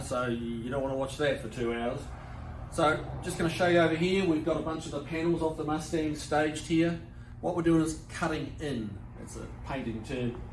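A man in his sixties with a New Zealand accent, showing car restoration work in a workshop in a relaxed, practical, plain-spoken way. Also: a little high, slight background noise, poor audio. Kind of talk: casual how-to demonstration. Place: large car workshop, indoors.

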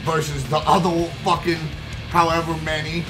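A man's voice: on the high side, normal speed. Young man telling a rambling, profane story. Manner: profane, rambling, animated storyteller with many fillers. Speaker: streamer. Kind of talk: casual storytelling while gaming. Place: indoor room at gaming desk. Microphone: headset. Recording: background guitar music playing.

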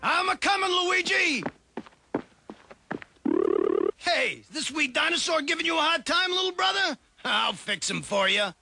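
A man voicing a feisty, bossy plumber big brother in a cartoon, gravelly, brash and exclamatory. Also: extremely high pitch, expressive, normal speed. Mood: alarmed. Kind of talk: animated cartoon hero acting.

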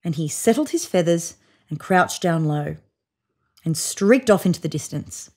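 A woman in her thirties narrating a children's picture book in an expressive read-aloud style. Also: lowish voice, unhurried, slightly rough. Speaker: storybook reader. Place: indoors, on a sofa in a room.

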